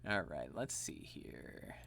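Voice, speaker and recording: A man streaming live, chatting casually and laughing at himself, his voice slightly gravelly and tired. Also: sits low, very animated, slow, fairly bright tone. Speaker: streamer and voice actor.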